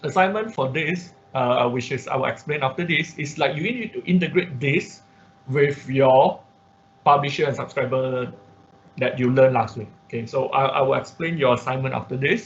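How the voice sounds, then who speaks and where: A man's voice: mid-range voice, creaky. Man teaching online, dull lo-fi audio. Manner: step-by-step explaining with frequent check words like okay and right. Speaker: instructor of an online robotics class. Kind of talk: informal screen-share tutorial.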